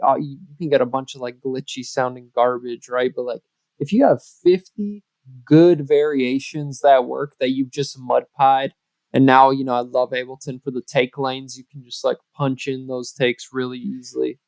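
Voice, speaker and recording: A man co-hosting a podcast, making emphatic points in a playful casual chat, often rushing his words. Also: medium pitch, fairly even intonation, a little mellow, no echo. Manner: emphatic, speeds up in bursts, playful. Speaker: podcast co-host.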